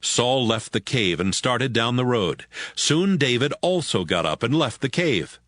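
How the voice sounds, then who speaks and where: A man's voice: medium pitch, big pitch swings, a little slow, fairly bright tone, no room sound. A man narrating a dramatized audio Bible as a scripted story.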